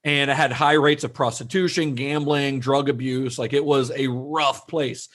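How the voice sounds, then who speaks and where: A man co-hosting a film podcast in relaxed, chatty conversation, often restarting his sentences. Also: mid-range voice, normal speed. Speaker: podcast co-host. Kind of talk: casual film discussion.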